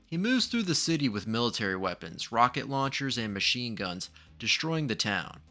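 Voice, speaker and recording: A man's voice: medium pitch, very animated, normal speed, pushed and bright. A man narrating a scripted video essay in a dramatic, ominous true-crime manner, posing rhetorical questions. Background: background music.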